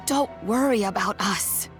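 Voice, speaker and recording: An actress playing a kindly, patient old granny in an anime-style video game. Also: female voice, medium pitch, slow pace, a bit bright. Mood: gentle, advising. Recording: background game music.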